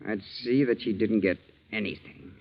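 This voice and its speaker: A man's voice: lowish voice, normal speed, vocal fry. An actor playing a greedy, sly young man resentfully scheming, in a 1940s radio drama. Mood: sly menace. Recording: dull, scratchy 1940s radio broadcast.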